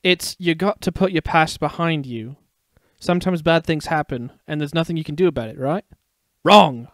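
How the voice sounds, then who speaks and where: A man voicing a wisecracking, excitable meerkat in a dramatic fan fiction reading, huffy and showy. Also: on the high side, moderate pace, no echo, studio quality. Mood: bossy. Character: wisecracking, excitable male meerkat sidekick, brash and cheery.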